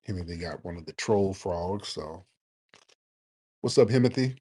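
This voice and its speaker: Male call-in host, low husky voice, blunt and emphatic. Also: normal speed. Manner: blunt, challenging, animated emphasis. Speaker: host taking callers. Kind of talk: live call-in show chat.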